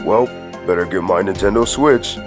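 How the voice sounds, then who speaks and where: Big hot-headed comic ape male performer, deep, animated delivery, cartoon buddy banter over background music. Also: normal speed, natural voice. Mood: easygoing. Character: big hot-headed shameless gorilla, buddy talk-show host. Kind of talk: scripted comic cartoon dialogue.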